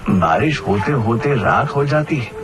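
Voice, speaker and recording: A man's voice: medium pitch, lively intonation, measured pace. A man narrating a scripted cartoon moral story. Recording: faint background music under the voice.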